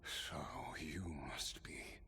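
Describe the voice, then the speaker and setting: A man voicing a weary, dying ancient swordsman of noble birth in a video game, breathy and weak, speaking in a slow, halting, solemn way. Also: bright, forceful tone, whispered, heavily acted. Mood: strained awe.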